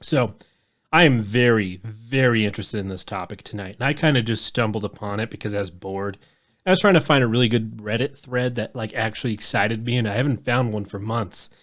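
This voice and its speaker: A man's voice: fairly low pitch, a little fast, a bit bright. Rambling man in his thirties. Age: 30s. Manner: loose and rambling, swears freely. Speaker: podcast host. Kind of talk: casual podcast chat.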